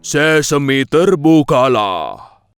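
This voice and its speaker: A man playing a commanding bandit chief in a children's cartoon, calling out in drawn-out orders. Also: fairly high pitch, sing-song, faint music behind, exaggerated voice. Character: commanding male bandit chief in a fairy tale.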